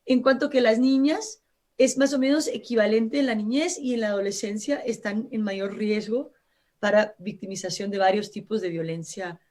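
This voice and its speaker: A woman's voice: medium pitch, moderate pace, darkish tone. A woman from Brazil, a native Portuguese speaker with a Brazilian accent, speaking Spanish as a guest expert on a live radio interview, explaining earnestly with frequent restarts.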